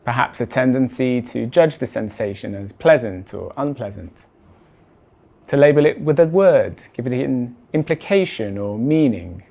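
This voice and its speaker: A man guides a body scan meditation at a mid-range pitch. His delivery is measured and deliberate, and his phrases settle softly at the ends. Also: expressive, gravelly.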